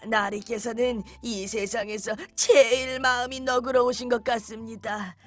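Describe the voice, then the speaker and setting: A woman acting out a crafty crippled beggar in a children's storybook drama. He fawns with exaggerated humility, then turns loud and brazen. His voice sits fairly high, and soft music plays underneath. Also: fairly even intonation, a little slow, close to an everyday voice. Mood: pleading. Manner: fawning pleading turning to rude demands. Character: sly lame male beggar, wheedling then brazen.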